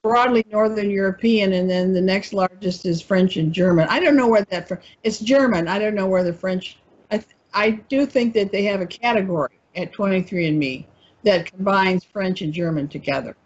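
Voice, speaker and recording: A woman with a husky, breathy voice wanders through her thoughts in a relaxed discussion, often pausing to find the word. Her audio comes through dull and muffled. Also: mid-range voice. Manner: meandering, searching for words. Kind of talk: casual discussion.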